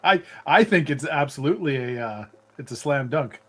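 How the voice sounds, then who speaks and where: A man's voice: mid-range voice, lively intonation, moderate pace, fairly bright tone. A man co-hosting a casual livestream chat, joking and laughing often. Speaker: co-host.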